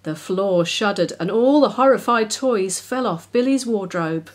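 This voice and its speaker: A woman's voice: mid-range voice, expressive, unhurried. A woman narrating a children's story expressively as its author.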